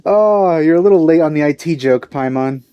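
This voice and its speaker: Very deep-voiced, wry woman gamer. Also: fairly quick, clear, resonant tone, no room sound, lo-fi sound. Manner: wry, offhand asides. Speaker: let's play host. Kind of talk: casual gameplay commentary.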